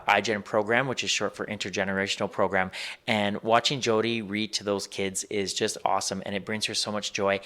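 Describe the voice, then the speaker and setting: A man with a fairly low voice talks casually as a podcast host. He works through his introduction haltingly, with frequent pauses and ums, while music plays behind him. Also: fairly bright tone.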